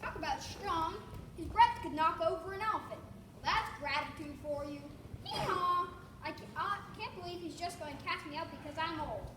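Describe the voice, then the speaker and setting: A woman's voice: very high voice, expressive, normal speed. A young actress in a school play voicing an old male donkey who grumbles and makes wry asides. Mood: indignant. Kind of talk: school stage play acting. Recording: echoey hall, distant mic, noisy.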